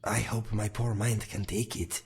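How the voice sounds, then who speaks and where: A man voicing a gentle, worried male cat-folk companion in a game, with a breathy, husky voice. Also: lowish voice, flat delivery, unhurried, heavily acted. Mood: shaken. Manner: soft-spoken, worried, polite.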